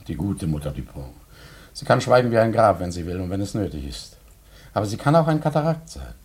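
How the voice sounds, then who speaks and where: An actor playing a courteous, haunted returned emigrant in a German radio drama, speaking deliberately and theatrically. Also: male voice, deep voice, very animated, husky, heavily acted.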